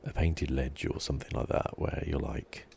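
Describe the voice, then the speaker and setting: A man with an extremely low, creaky voice gives soft ASMR gaming commentary. He muses to himself in an unhurried, understated way, often dropping to a whisper. There is faint noise behind him. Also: fairly even intonation. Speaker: gaming channel host. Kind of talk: ASMR video game playthrough commentary.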